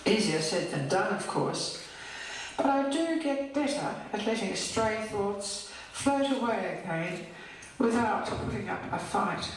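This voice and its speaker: An older woman recites a poem at a slow, deliberate pace. Her voice is heard from a distance in a very echoey hall. There is some background noise around it. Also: mid-range voice, lively intonation. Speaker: poet reading her own poem. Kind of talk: scripted poetry recital.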